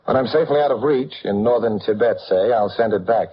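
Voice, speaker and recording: An actor playing a suave, flippant gentleman detective, dry and unruffled, in 1940s radio drama style. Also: mid-range voice, quick pace, close to an everyday voice. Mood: wry, breezy. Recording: muffled, noisy old radio recording.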